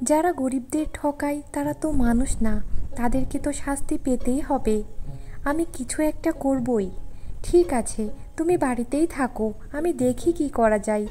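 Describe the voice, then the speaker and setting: A woman plays a clever village wife in a cartoon folk tale. Her voice is high yet mellow. She speaks in an earnest, coaxing way, determined underneath the sweetness. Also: normal speed, soft background music, close to an everyday voice. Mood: scolding, determined.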